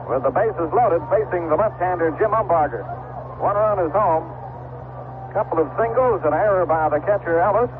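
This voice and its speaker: An American man calling baseball play-by-play for radio in short, clipped, measured phrases. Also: sits high. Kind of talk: live radio play-by-play commentary. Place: ballpark radio broadcast. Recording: noisy vintage AM radio broadcast, narrow band.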